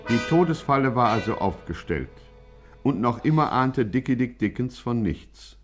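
A man voices the narrator of a 1950s radio crime satire. His mid-range voice is solemn and deliberate, relishing each mock-ominous phrase. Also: mid-range voice, expressive, soft background music, natural voice. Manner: deliberate, portentous.

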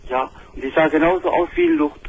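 A man calling into a radio talk show, speaking in an excited, halting way and often repeating himself. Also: a little high, slightly rough. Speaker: caller. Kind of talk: phone-in call. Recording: narrow telephone line.

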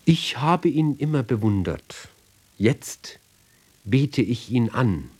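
A man giving a measured literary recitation for radio, speaking deliberately in short phrases with pauses between them. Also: mid-range voice, sing-song, darkish tone. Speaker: reader.

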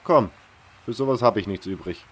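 A man playing a gruff but helpful prison camp guide in a fantasy audio drama, blunt and casual. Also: on the low side, moderate pace.

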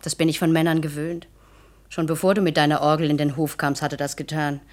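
A woman's voice: deep voice, flat delivery, fast talker, close to an everyday voice. A woman playing a cold, scornful wife in a 1960s German radio play, speaking curtly and contemptuously. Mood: disdainful. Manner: curt, contemptuous. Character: cold, scornful wife belittling her husband.

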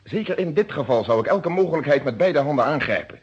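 A husky, methodical police inspector, lo-fi radio drama. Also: male voice, a little high, animated. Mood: firm. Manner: formal, precise, deliberate phrasing. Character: methodical, unyielding police inspector questioning his own friend. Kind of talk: Dutch detective radio drama acting. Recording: dull lo-fi old radio recording.

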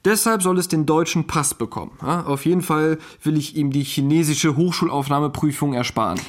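A man's voice: on the high side, fairly quick, close to an everyday voice. An actor playing an easygoing, wry German husband and father-to-be in a naturalistic, conversational radio play. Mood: earnest.

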